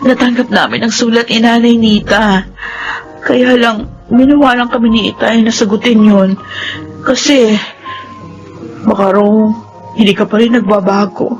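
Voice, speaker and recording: A woman's voice: on the high side, fairly even intonation, moderate pace, pauses often, soft background music, natural voice, rough recording. A woman playing a cautious, tearful wife meeting her reformed husband in a radio drama. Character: wary, loyal wife. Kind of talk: classic radio drama acting.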